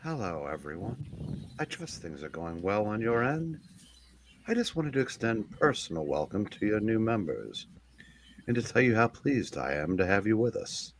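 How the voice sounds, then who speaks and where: A man's voice: on the low side, very animated, normal speed, a little hiss or hum. A man playing a formal executive giving a pompous welcome speech in an amateur comic-book reading. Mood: grandly encouraging. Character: smooth, formal executive sending a welcome message.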